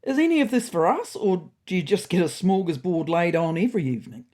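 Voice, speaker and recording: A woman's voice: on the low side, lively intonation, fairly quick, mellow. A woman voicing a cheeky, greedy male cat in a comic story podcast, blunt, nosy and teasing. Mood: envious.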